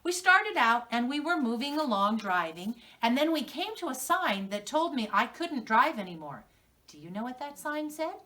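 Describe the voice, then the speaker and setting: A woman's voice: high voice, big pitch swings, normal speed, bright, forceful tone, far from the mic. A woman with an American accent teaching young children to read, telling a story and prompting them with questions. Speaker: preschool teacher.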